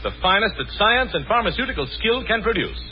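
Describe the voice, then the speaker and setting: Animated 1940s radio announcer man. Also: high voice, heavy background noise. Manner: emphatic, measured salesmanship. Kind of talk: 1940s radio sponsor advertisement, scripted. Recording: muffled 1940s radio broadcast.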